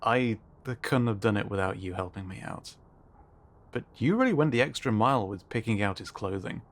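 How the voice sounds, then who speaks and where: Man playing low-voiced, jittery wry friend. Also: normal speed, natural voice. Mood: wry, confiding. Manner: hesitant, casual, dryly joking. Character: jittery, easily spooked, wry male friend. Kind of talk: scripted ASMR sci-fi roleplay.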